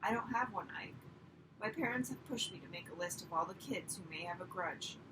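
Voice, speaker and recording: An earnest storybook schoolboy, voiced by a woman reader, confiding in his uncle. The sound is slightly distant, with clear noise in the background. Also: fairly low pitch, brisk, close to an everyday voice. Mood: earnest, puzzled.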